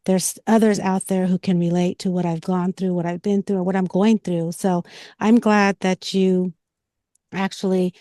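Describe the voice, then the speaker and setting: A woman's voice: on the low side, level tone, normal speed, warm tone, no room sound, studio quality. A woman co-hosting a talk show, speaking conversationally in an affirming, encouraging way. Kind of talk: supportive conversational interview.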